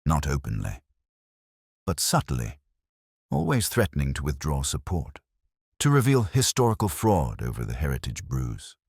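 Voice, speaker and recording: A man's voice: rumbling low, huge pitch swings, normal speed, gravelly, a little mellow, breathy edge, no echo, studio quality, full character voice. A man voicing a composed, bitter middle-aged woman who speaks in a cool, cutting way. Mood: controlled.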